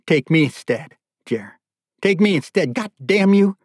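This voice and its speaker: A man's voice: lowish voice, animated, normal speed, husky, no room sound, studio quality. Man acting desperate, defiant captive father. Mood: desperate fury. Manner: pleading, bitter, swearing defiance. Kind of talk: audiobook character dialogue.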